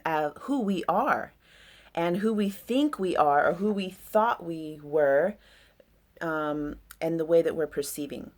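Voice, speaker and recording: Woman, deep low voice, casual astrology talk, rambling, reflective. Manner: rambling, reflective, many fillers.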